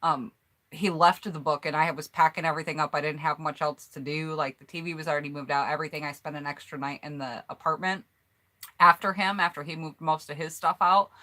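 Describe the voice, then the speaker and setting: A woman's voice: sits low, pushed and bright. An American woman chatting casually on a home mic, reading out viewers' answers in an upbeat, rambling way. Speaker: YouTuber hosting a collab tag.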